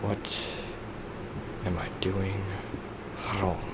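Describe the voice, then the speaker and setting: Low-voiced young man rambling over gameplay. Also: little pitch movement, extremely slow, very noisy. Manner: rambling, self-deprecating, talks to himself while building. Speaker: let's play gamer. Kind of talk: casual gameplay commentary.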